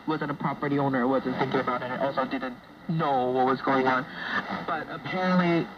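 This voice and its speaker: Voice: medium pitch, steady tone, a little fast. A speaker in a casual radio discussion, telling anecdotes in a chatty way and acting out reactions. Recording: distant, dull, noisy shortwave radio broadcast.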